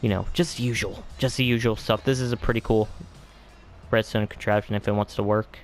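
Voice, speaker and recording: Low-voiced man rambling through a game tour. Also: fairly even intonation, fairly quick, faint music behind. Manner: hesitant, filler-heavy, trailing off with vague asides. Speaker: gaming video host.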